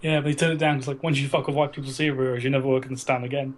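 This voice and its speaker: A young man co-hosting a casual film podcast, chatting in a halting way and repeating words as he goes. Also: medium pitch, flat delivery. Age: young adult. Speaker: podcast co-host. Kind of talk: casual chat about films. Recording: noisy amateur podcast recording.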